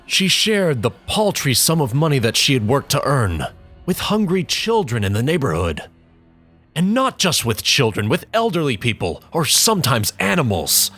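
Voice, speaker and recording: A bitter young man broods in a low, measured voice, read by a man as a dramatic audiobook. He sounds contemptuous, and he stops for heavy pauses between phrases. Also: a bit bright. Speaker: narrator in character.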